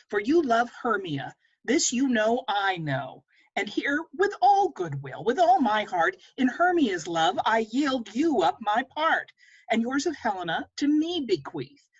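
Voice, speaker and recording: Lovestruck young suitor woman reader, Shakespeare verse: earnest, animated, slightly raspy, dull lo-fi call recording. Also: fairly high pitch, full character voice. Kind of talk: amateur Shakespeare table read in verse. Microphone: video call. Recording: muffled lo-fi video call.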